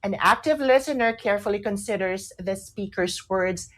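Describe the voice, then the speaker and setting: A woman's voice: medium pitch, moderate pace. A woman with a Filipino accent co-hosting a chatty radio talk show, repeating phrases and slipping Tagalog into her English. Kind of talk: chatty talk show discussion with some read-out tips.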